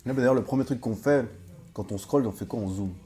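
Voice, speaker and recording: A man's voice: medium pitch, fast, dark tone. A man co-hosting a podcast, asking questions in a casual, engaged way. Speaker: podcast co-host.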